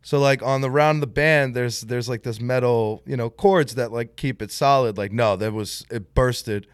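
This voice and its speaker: A man chatting casually about cars, animated and full of filler words. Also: medium pitch, moderate pace, smooth, no room sound, studio quality. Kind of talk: casual car chat.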